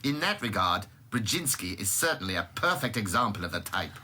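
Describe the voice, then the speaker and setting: Blunt, animated British government scientist. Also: male voice, fairly low pitch, a little fast, a little hiss or hum, exaggerated voice. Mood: dismissive. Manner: blunt, plain-spoken. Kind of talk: radio drama acting.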